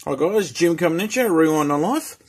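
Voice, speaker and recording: A middle-aged man with an Australian accent and a husky voice, talking casually and a little hesitantly as he hosts a hobby vlog. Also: on the high side, animated, brisk. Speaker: host and radio hobbyist.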